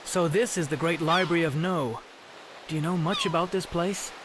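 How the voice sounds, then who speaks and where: A man's voice: on the high side, normal speed, lightly acted. A man playing a bold, impetuous young hero in a video game, with a direct, challenging delivery. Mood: curious. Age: young adult. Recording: clear insect and environmental noise behind.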